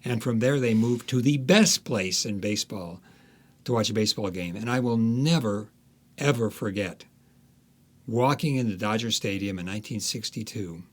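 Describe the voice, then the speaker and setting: A man's voice: lowish voice, moderate pace. Older American man reminiscing with enthusiasm. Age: 70s. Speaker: interview guest, author. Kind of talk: reminiscing, anecdotal interview talk.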